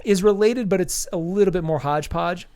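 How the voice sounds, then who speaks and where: A man's voice: high-pitched, fairly quick, darkish tone, smooth, no echo. Stuffy-nosed man rambling about comics. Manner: rambling, many ums and restarts. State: stuffy nose from allergies. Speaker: podcast host reviewing comics. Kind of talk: casual review chat.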